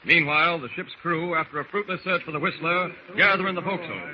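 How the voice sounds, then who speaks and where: A man's voice: a little high, steady tone, quick pace, a little hiss or hum, natural voice. A man playing the dramatic narrator of a 1940s radio adventure serial, reading scripted narration. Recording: dull old radio recording.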